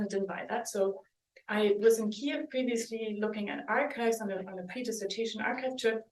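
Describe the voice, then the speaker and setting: A woman from Germany giving an academic book talk as a historian, speaking thoughtfully with pauses and restarts. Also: on the high side. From West Germany. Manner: thoughtful, hesitant, with restarts and ums. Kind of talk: academic lecture with slides. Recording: roomy, mic at a distance.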